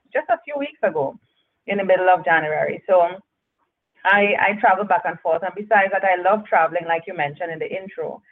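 A woman from Trinidad and Tobago, a guest author chatting over the phone, laughing often and repeating her phrases. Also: on the low side. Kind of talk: casual interview over the phone. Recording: tinny phone line.

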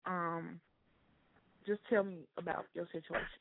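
A woman's voice: lowish voice, steady tone, measured pace, creaky, phone-quality sound, background noise. Chatty woman radio host, phone-thin. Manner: chatty, frequent "okay" and "um". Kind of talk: casual radio interview.

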